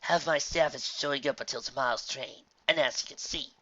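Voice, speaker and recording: A man's voice: mid-range voice, fairly quick, pushed and bright, no room sound, dull audio, voice pushed up. A man voicing a bustling, folksy middle-aged female pony mayor in a storybook reading, chatty and motherly. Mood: friendly, bustling.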